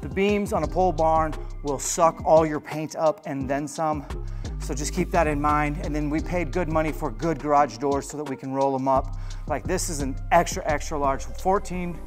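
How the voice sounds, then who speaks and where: A man's voice: a little high, normal speed, over music. Upbeat man touring his home gym. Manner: upbeat, plain-spoken, enthusiastic. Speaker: host. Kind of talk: casual gym tour. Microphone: lapel.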